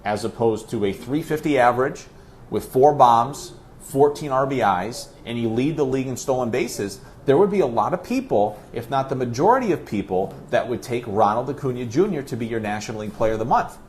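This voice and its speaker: A man's voice: mid-range voice, lively intonation, a little fast, darkish tone, a little hiss or hum. A man working as a radio play-by-play announcer, talking sports as a guest in an emphatic, conversational way with rhetorical questions. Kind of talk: animated sports discussion.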